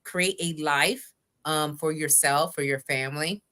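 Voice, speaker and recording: A woman's voice: deep voice, a little slow, sharp tone. A woman hosting a talk show, interviewing in a chatty, conversational way and addressing viewers directly. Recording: live online stream.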